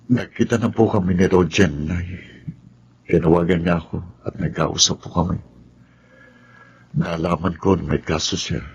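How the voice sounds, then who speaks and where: An actor playing a troubled young Filipino lawyer in a radio drama, hesitant and confessional. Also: male voice, low-pitched, steady tone, moderate pace, pauses often, darkish tone, husky, lo-fi sound, full character voice. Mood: reluctant, respectful. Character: troubled, soft-spoken young male lawyer with a secret. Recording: music behind the voice.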